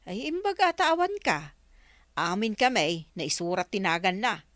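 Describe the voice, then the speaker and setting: A woman's voice: mid-range voice, big pitch swings, normal speed, fairly bright tone, no echo. A woman with an Ilocano accent playing a wary, gossip-loving village councilwoman in a radio comedy drama. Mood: grumbling.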